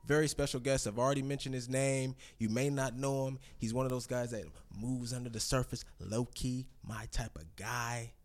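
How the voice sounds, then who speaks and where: A man's voice: medium pitch, steady tone, normal speed, hardly any pauses. Rambling male podcast host. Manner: rambling, with many fillers and restarts. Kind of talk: casual chat.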